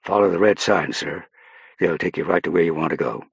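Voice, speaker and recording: A blunt military policeman, played by a male narrator in a storybook, respectful and plain-spoken. His voice is gravelly and husky. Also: low-pitched, fairly quick, no room sound, heavily acted. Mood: businesslike.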